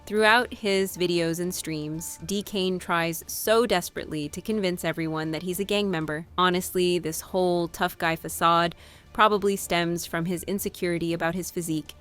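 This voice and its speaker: A woman's voice: fairly low pitch, steady tone, normal speed, a bit bright, smooth, faint music behind. A woman narrating a scripted commentary in a sarcastic, mocking manner.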